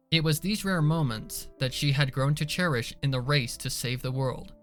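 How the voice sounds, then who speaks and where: A man reading scripted dramatic narration for a fan fiction story. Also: fairly high pitch. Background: soft music behind the voice.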